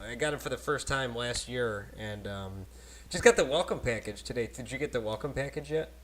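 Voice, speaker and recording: A man hosting a casual podcast on a cheap desk microphone, talking in animated, rambling bursts with frequent laughter. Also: mid-range voice, fairly quick, a crowd in the background. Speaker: podcast host. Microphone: cheap desk microphone at a laptop.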